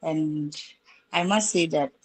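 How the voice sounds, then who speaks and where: Slow-speaking woman joining a call. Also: deep voice. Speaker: course participant.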